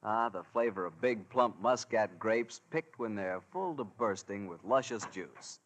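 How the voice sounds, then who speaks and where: A man with an American accent announcing and pitching a sponsor in 1940s radio style, with enthusiastic, flowing salesmanship. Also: lively intonation, unhurried, a bit bright.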